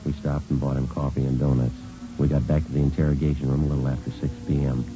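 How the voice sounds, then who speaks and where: An actor playing a terse, unflappable police sergeant in a vintage radio drama, speaking and narrating in a clipped, matter-of-fact deadpan. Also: male voice, rumbling low, fast. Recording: muffled vintage radio recording, music behind.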